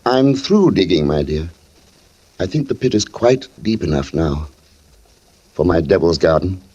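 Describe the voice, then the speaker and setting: An actor playing a meek, henpecked middle-aged botanist with quiet murderous schemes, mild and measured in 1940s radio suspense style. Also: male voice, low voice, expressive, a little hiss or hum. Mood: quietly sinister. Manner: mild, deferential, dryly measured. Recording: muffled old radio recording with music.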